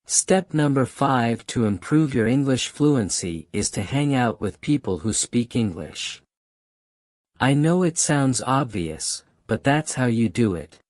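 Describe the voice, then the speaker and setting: Hong kong guy, cantonese is his first language, talks real slow and punchy, dry close sound. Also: mid-range voice, expressive, darkish tone, dry recording. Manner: emphatic, repeats key phrases. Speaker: channel host giving language advice. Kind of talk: motivational talk to camera.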